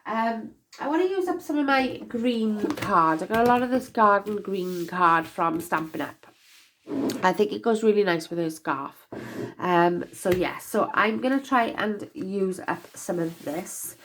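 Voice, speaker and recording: A woman's voice: medium pitch, lively intonation, a little slow, a little hiss or hum. A young woman with a stuffy nasal voice from a cold, chatting casually and rambling with lots of fillers as she works through a craft project. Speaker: crafter demonstrating box making. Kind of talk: casual craft-along chat.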